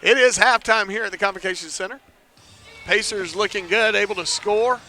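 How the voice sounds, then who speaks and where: A man calling a basketball game live from an indoor arena, chatty, making joking asides about himself and rising to near shouts on big plays. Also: high voice, moderate pace, soft background music. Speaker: play-by-play commentator.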